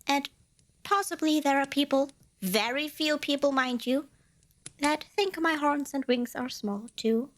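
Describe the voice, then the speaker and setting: A woman's voice: high voice, unhurried. A woman playing a tiny, proud and easily flustered female demon in an ASMR roleplay, boastful and indignant, often hedging and correcting herself. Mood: sheepish, reluctant. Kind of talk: ASMR roleplay addressed to the listener.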